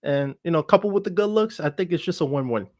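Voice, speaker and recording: A man's voice: fairly high pitch, expressive, fast, no echo, studio quality. A man speaking as a podcast guest, telling their own story in a self-assured, reflective and meandering way in casual conversation. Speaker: podcast guest, actor and entertainer. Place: online video call for a livestream podcast.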